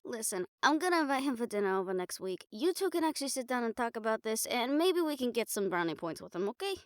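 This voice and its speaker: A woman's voice: on the high side, sing-song, quick pace, dry recording, studio quality. A woman playing a wry, affectionate, bossy girlfriend in an improvised, intimate audio roleplay, talking casually with slangy teasing and swearing. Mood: exasperated pleading. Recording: music behind the voice.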